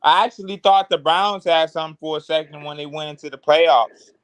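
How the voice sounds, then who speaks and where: A man's voice: a little high, steady tone, brisk, a bit bright, clean tone, no room sound. A man in his early twenties from Cleveland, Ohio, talking in a laid-back, slangy way in casual group chat. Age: 20s. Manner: laid-back, filler-heavy slang talk.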